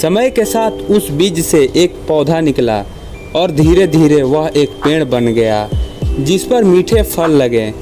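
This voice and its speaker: Slow-paced, higher-voiced man telling moral stories. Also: expressive, dark tone, faint music behind. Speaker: storyteller. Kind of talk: scripted moral story narration for children.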